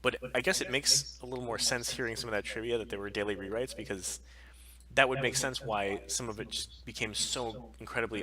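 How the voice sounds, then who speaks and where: A man's voice: mid-range voice, poor audio. A man co-hosting a casual chat stream, giving opinions in an emphatic, rambling way. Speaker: co-host of a weekly pop culture stream.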